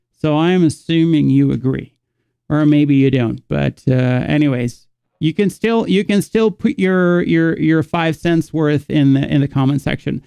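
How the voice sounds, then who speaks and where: A man with a gravelly yet smooth voice talks slowly through a live guitar lesson. He thinks out loud, restarts his sentences and circles back to his point. The recording is dry and close. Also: on the high side, no echo. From Netherlands. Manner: rambling, thinking aloud with frequent restarts. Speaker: guitar teacher.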